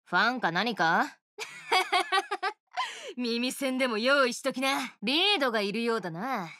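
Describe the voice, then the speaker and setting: A woman voicing a brash, swaggering female oni rock star guitarist, with rough tough-girl speech in game voice lines. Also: high voice, big pitch swings, slow, pushed and bright, dry recording, studio quality, heavily acted. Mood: cocky. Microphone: studio mic, dry.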